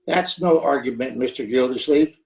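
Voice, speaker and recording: A man's voice: mid-range voice, normal speed, vocal fry, a little mellow, highs cut off, lightly acted. A man playing a dry, noncommittal small-town skeptic in 1940s radio comedy style, hedging fussily. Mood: dryly skeptical.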